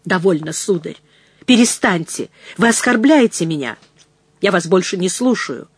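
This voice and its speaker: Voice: medium pitch, very animated, unhurried, slightly rough, no room sound. A narrator acting an earnest young servant woman. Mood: indignant. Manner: earnest, imploring, firm. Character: young enslaved woman, humble yet firm, agitated. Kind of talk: dramatised audiobook reading.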